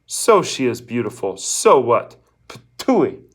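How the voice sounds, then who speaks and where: A man's voice: mid-range voice, sing-song, slow pace. A man voicing a blunt old woman fortune-teller in a storybook, bossy and emphatic as she hands out scorn and instructions. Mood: scornful. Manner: emphatic, instructing, asks rhetorical questions.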